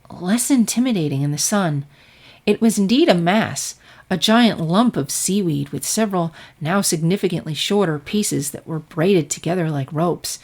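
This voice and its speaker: A woman reading scripted fiction aloud as an expressive story narrator. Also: lowish voice, creaky.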